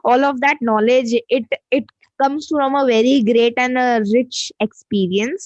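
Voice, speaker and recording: Woman, interviewer, deferential, calls him sir, high voice. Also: no room sound, muffled recording. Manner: polite, deferential, says "sir" and short agreements.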